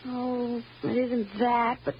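An actress playing an earnest, fretful, lovestruck twenty-year-old daughter, melodramatic and halting, in old-time radio drama style. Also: female voice, on the high side, completely flat delivery. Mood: earnest, defiant. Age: young adult. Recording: dull old radio recording, faint noise.

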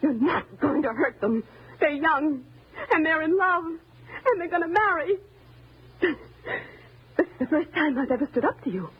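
An actress playing a timid, resentful wife turned murderous, in old-time radio thriller style, menacing and bitter. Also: female voice, high voice, expressive, normal speed, slightly rough, full character voice. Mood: defiant resolve. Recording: noisy, narrow-band old radio recording.

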